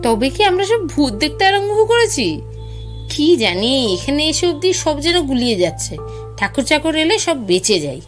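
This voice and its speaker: A woman's voice: high voice, sing-song, moderate pace, faint music behind. A woman playing a nervous, easily frightened younger sister in an audio drama, anxious and wondering. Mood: uneasy. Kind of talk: Bengali audio story acting.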